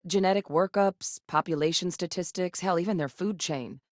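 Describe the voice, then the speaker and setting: An actress plays a guarded, principled woman scientist in a sci-fi game. Her voice sits low, recorded very dry and close. She speaks in a measured, earnest manner with a wry edge. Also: dry recording, natural voice. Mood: measured, serious. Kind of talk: video game character dialogue.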